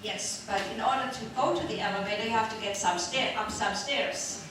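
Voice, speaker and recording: A woman's voice: medium pitch, fairly quick, recorded from a distance. Hesitant woman in a very echoey, noisy room. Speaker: panel speaker. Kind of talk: informal anecdotal account.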